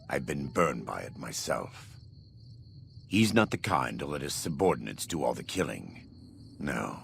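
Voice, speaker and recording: An actor playing a devout, vengeful former warlord in a video game, speaking in a solemn, measured, preacherly way with a gravelly voice. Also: male voice, very deep voice, a bit bright, a little hiss or hum, full character voice. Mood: grim, condemning. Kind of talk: video game dramatic dialogue.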